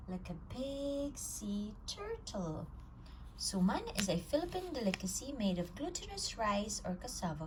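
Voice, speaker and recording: A woman reading a children's picture book aloud at storytime, telling it with an expressive, swinging voice. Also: medium pitch, slight background noise, rough recording. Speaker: storytime reader.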